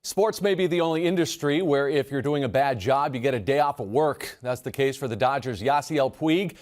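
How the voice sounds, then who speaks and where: A man anchoring a local TV sports desk, reading highlights in a brisk, punchy manner. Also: darkish tone. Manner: brisk, punchy play-by-play delivery.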